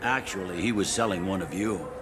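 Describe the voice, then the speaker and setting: A man's voice: deep voice, brisk, close to an everyday voice. An actor playing a world-weary, frank male police captain in a sci-fi video game, talking in a dry, matter-of-fact way. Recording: clear background noise and ambience.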